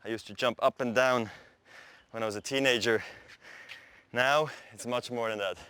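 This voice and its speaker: A man in his thirties whose first language is Swiss German, casually explaining his warm-up routine outdoors on a tennis court. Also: mid-range voice, normal speed, clear, resonant tone, no room sound. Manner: relaxed, chatty, laughs easily. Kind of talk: casual explanation during practice.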